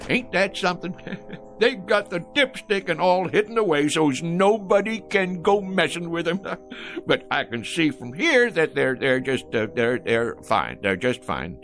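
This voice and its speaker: Radio drama: folksy old American braggart at a filling station, lively and gravelly, rambling and flustered. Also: male voice, a little high, measured pace, faint music behind, exaggerated voice. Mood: folksy bragging. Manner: rambling, bragging, folksy, chuckling and fumbling for words. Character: boastful, folksy old man running a gas station.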